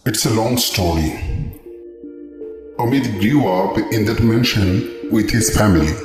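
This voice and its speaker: A man playing a solemn, kindly old village elder in an audio drama, telling things in a measured way. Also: fairly low pitch. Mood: sombre, reflective. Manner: slow, measured storytelling. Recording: echoey reverb under loud music. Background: music and birdsong.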